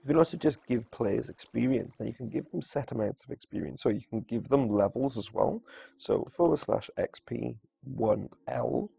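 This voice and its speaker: A man's voice: medium pitch, creaky, mellow, husky. A man giving a casual, unscripted video game tutorial, informal and a little hesitant as he thinks aloud. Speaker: gamer presenting a video walkthrough.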